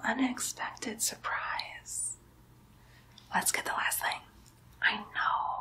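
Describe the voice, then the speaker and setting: A woman's voice: medium pitch, bright, forceful tone, rough recording. A woman voicing a mischievous young demon girl posing as a nurse, whispering coaxingly and teasingly in an ASMR roleplay. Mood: gushing, excited, then soothing, sinister. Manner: slow, coaxing, teasing whisper with playful baby talk.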